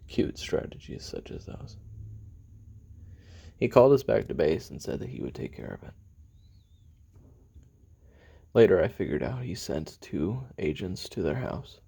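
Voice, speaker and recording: Man playing a very deep, gravelly, weary assassin. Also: warm tone, exaggerated voice. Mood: grim, cold. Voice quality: very deep, gravelly, husky, often breathy. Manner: halting, confiding, dropping into near-whispers. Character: weary, bitter, regretful male assassin recounting his youth. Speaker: voice actor in an audio roleplay. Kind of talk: confessional taped memoir monologue, audio drama.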